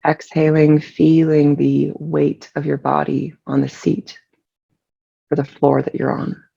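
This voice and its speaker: A person leading a guided meditation in a soothing, unhurried way with long pauses, relaxed and gravelly with vocal fry. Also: medium pitch, muffled recording.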